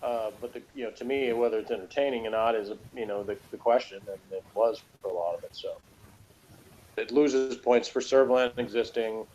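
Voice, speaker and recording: A man chatting casually as he reviews television, rambling and halting, often repeating himself. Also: mid-range voice, a little mellow, rough recording. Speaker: podcast reviewer. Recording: noticeable background noise.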